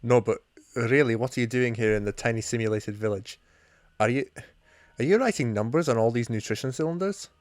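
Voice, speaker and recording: A man's voice: mid-range voice, a little fast, slight background noise, lightly acted. A man voicing a prim alien woman with a Scottish accent, formal, fussy and wordy. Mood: scolding.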